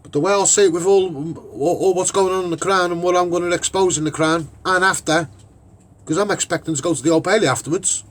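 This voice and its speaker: A man with a Scouse accent and a husky voice giving an off-the-cuff, opinionated monologue, emphatic and rambling, often repeating his phrases. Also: high-pitched, fairly even intonation, fast, a bit bright. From Liverpool, England. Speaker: online broadcaster and activist.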